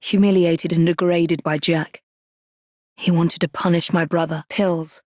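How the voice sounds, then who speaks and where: Young Victorian English assassin heroine for a game: poised, determined, dry wit, very dry close studio sound. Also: female voice, on the low side, little pitch movement, a little slow, darkish tone, dry recording. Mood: grave, focused. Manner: poised, clipped, dryly witty. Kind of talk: video game character voice lines.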